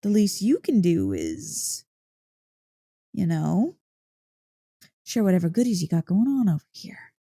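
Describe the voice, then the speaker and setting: An excitable, affectionate dog-girl , played by a woman in an ASMR roleplay. Her voice is soft and mellow but swings widely in pitch as she teases and gets flustered. She takes her time, speaking close into a dry mic as if to her partner. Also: measured pace, long pauses, dry recording, full character voice. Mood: excited, curious. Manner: playful, expressive, mock-indignant teasing. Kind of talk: ASMR roleplay, intimate one-sided dialogue. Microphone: close, dry microphone.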